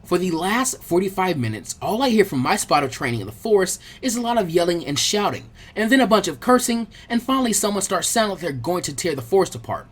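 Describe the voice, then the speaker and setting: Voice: mid-range voice, sing-song, fast talker, few pauses, slightly rough. Narrator acting a teasing, confident ninja girl. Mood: stern, assured. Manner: taunting, amused, condescending. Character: teasing, confident teenage kunoichi girl, condescending. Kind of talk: expressive fan fiction character reading.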